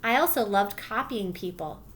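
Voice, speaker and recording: A woman's voice: mid-range voice, animated. A woman teacher reading a picture book aloud to her class, stopping to explain and check understanding. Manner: warm encouraging teacher voice, pausing to explain.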